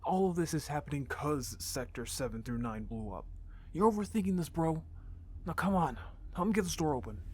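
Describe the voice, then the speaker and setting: An actor playing a reckless, excitable young rebel brother in a sci-fi audio drama, with casual, expressive, slangy delivery. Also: male voice, on the high side, fairly quick. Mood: brisk. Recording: clear background noise, ambience.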